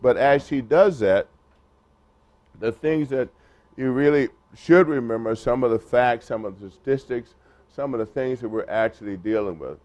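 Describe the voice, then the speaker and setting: A man speaking at a press conference for a retired players group, halting, with many fillers and restarts. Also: mid-range voice, darkish tone, dry recording. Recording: muffled lo-fi recording.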